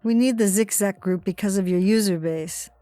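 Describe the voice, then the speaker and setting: A woman's voice: mid-range voice, normal speed, dark tone, no echo, soft background music, lightly acted. Woman acting a cheerful Hungarian woman hacker, close and dry. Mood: keen. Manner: speaks in clipped, slightly broken English. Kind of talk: dramatized audio story reading.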